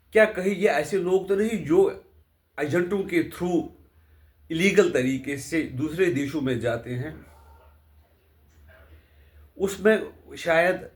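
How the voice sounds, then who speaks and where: A man talks as a political commentator, unscripted and deliberate. His pitch sits a little high for a man. He speaks very slowly, in halting phrases, and puts rhetorical questions to the listener. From India.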